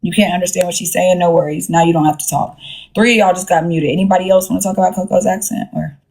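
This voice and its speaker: Sassy, blunt woman with a lowish voice. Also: fairly even intonation, fast, vocal fry, darkish tone. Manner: blunt, sassy, profane, slangy. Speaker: livestream host. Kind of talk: casual live-stream chat.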